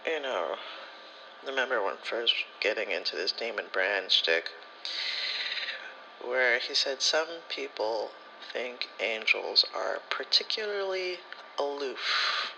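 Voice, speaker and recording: A man's voice: a little high, vocal fry, pushed and bright, slight background noise, low-quality recording. A man in a casual ASMR storytelling style, rambling and slangy, with profanity and acted-out bits of dialogue. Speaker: spiritual content creator.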